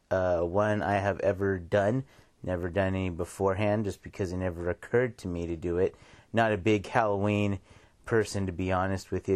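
Low-voiced American man, casual radio host. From United States. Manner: rambling, informal, repeats himself. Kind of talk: casual radio monologue with shout-outs.

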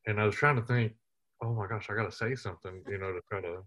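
A man's voice: lowish voice, quick pace, a bit bright, rough recording. Lighthearted man telling stories. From West Texas. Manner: lighthearted, humorous storyteller. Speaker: interview guest, military veteran. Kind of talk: storytelling in casual interview.

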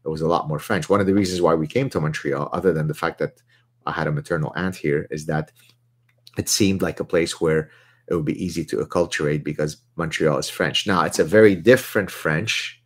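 Low-voiced man hosting live Q&A. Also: breathy edge. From Lebanon. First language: Arabic. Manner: chatty, boastful, reads out chat questions. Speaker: host answering viewer questions. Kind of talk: live Q&A chat.

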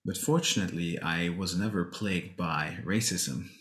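A man from Norway with an extremely low, deep voice talks in a measured, thoughtful way and stops now and then to find his words. His voice carries the echo of a roomy space. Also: fairly bright tone. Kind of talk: reflective conversation.

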